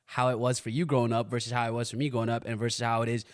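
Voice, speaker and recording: A man's voice: medium pitch, level tone, racing. A young man from the United States hosting a casual family podcast, teasing and switching between English and Hindi. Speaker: podcast host. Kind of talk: casual chat.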